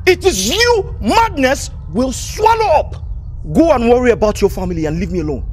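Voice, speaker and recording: Defiant young Nigerian man, hugely animated. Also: fairly high pitch, moderate pace. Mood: furious defiance. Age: young adult. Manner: forceful, confrontational. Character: defiant, hot-headed young nephew defending his wife. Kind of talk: film drama acting.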